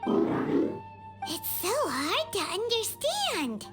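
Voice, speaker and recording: A tiny chirpy fairy sidekick for a video game. The voice is extremely high and squeaky, bouncing around in pitch with an eager childlike earnestness, over clear background music. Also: female voice, wildly swooping pitch, extremely slow, exaggerated voice. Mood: puzzled, explaining. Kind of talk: cartoonish video game voice acting.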